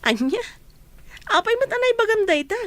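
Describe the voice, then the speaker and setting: A woman's voice: very high voice, big pitch swings, normal speed, fairly bright tone, dry recording, exaggerated voice. A woman playing a chatty, playful office friend who gossips about a couple, in a radio drama. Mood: gossipy.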